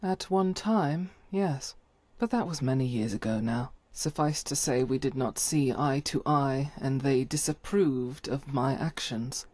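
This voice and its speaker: A woman playing a devout, stern holy warrior in a video game, speaking in solemn, formal, measured phrases. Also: a bass voice, darkish tone, dry recording. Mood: reflective, earnest. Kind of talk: scripted video game character dialogue.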